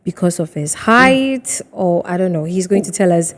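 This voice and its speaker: A woman's voice: lowish voice, expressive, moderate pace, crowd noise. A woman with a Ghanaian accent hosting an entertainment interview in a conversational way, often murmuring agreement. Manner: conversational, with frequent affirming murmurs. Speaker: TV show host. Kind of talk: entertainment talk show interview. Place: TV studio.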